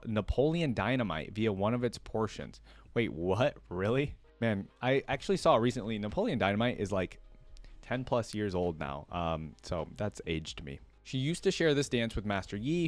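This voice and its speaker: Guy with a lowish voice doing casual reaction commentary, reads stuff out and muses on it, dry close sound. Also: dry recording. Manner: chatty, musing asides while reading. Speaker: reaction video host. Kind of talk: casual commentary, reading aloud from wiki trivia.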